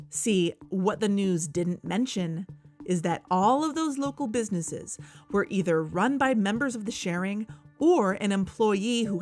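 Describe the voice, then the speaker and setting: A woman's voice: medium pitch, darkish tone, natural voice. A woman playing an investigative podcast host, narrating in a serious, conversational, scripted style. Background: background music.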